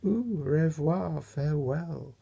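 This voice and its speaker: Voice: medium pitch, takes it slowly, vocal fry, warm tone, husky, highs cut off, exaggerated voice. A storyteller voicing an enormous, unflappable magic snail in a storybook, serene and confident.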